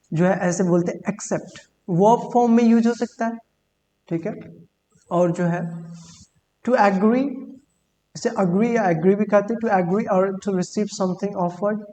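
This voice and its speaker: A woman teaching English vocabulary in Hindi, reading English examples slowly and deliberately and often repeating words. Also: medium pitch, dark tone. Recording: long hall reverb with faint hum.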